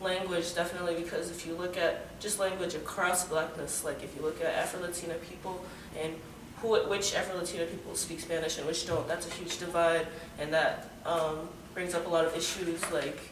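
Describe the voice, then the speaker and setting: Male panelist, hesitant and thoughtful, higher voice, recorded far off in a roomy space. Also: flat delivery, brisk. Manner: reflective, hesitant, lots of fillers. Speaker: student panelist. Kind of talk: casual group discussion. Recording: echoey room, mic far off, background noise.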